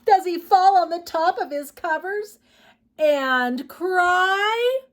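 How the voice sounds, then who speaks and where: A woman hosting a children's storytime, reading picture books aloud in an expressive, sing-song way and talking directly to young listeners. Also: very high-pitched, slow pace.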